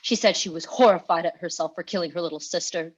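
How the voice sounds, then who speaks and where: A woman's voice: fairly low pitch, quick pace, lightly acted, poor audio. A woman playing a cornered cartoon villain, protesting and self-justifying in a melodramatic way. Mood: defiant confession.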